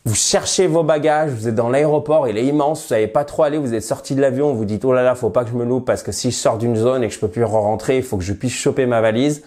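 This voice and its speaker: A man teaching English phrases in French, with a head cold, lively and friendly, slowing down to model the English. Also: mid-range voice. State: has a cold, slightly nasal voice. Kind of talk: bilingual language lesson at a whiteboard.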